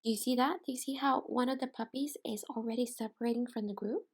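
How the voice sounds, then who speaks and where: A woman teacher doing an interactive storybook read-aloud, expressive and deliberate, pausing to put questions to young listeners. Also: a little high, husky. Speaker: teacher reading aloud to children.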